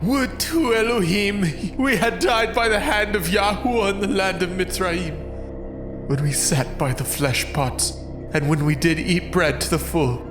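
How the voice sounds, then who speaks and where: An actor playing a bitter, hungry Israelite lamenting in a drawn-out, plaintive way in a dramatized scripture reading. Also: male voice, high-pitched. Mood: bitter complaint. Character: aggrieved Israelite complainer, bitter and hungry in the wilderness. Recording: echoing voice under prominent music.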